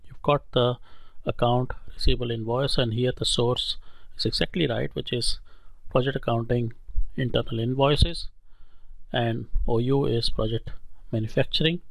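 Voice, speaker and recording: A man giving a step-by-step, explanatory voice-over as an instructor in a screen-recorded software tutorial. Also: medium pitch, fairly even intonation, moderate pace, gravelly, no room sound, low-quality recording.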